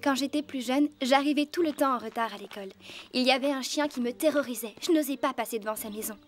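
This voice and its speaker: A woman voicing a kind, earnest teenage girl rider in a French cartoon dub, expressive and encouraging. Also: high-pitched, fast, faint music behind, natural voice. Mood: earnest, reassuring.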